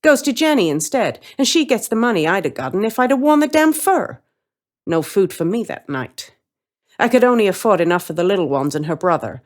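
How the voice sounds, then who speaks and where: Low-voiced woman telling a streetwise story. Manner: streetwise, wry, colloquial. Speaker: story reader. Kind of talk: first-person scripted narration in character.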